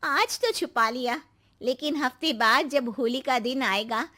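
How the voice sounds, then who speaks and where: A woman's voice: high voice, a little slow, sharp tone, pitched above the performer's own voice. A woman voicing a sinister, taunting unseen spirit in a cartoon story. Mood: ominous taunting. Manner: taunting, ominous.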